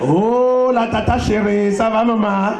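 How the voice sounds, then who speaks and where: A man from Cameroon with a Cameroonian French accent, doing animated live stand-up and shouting his punchlines. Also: high voice, a little slow. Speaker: stand-up comedian. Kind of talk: live stand-up comedy with crowd interaction. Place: live show venue with audience. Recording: roomy live venue with crowd noise. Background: audience laughter.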